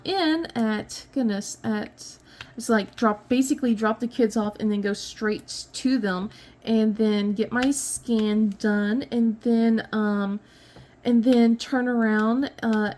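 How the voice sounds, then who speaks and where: A woman in her thirties talks casually at home, a touch sniffly. Her voice sits fairly high, and she wanders through her thoughts with fillers, restarts and small laughs. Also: darkish tone. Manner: rambling, many fillers and restarts, light laughs. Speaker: crafting vlogger. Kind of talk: casual chat while diamond painting.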